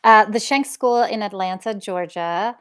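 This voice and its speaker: A woman's voice: mid-range voice, measured pace, fairly bright tone, smooth. A woman interviewer on a video call, conversationally putting questions to a guest. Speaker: interviewer relaying children's questions.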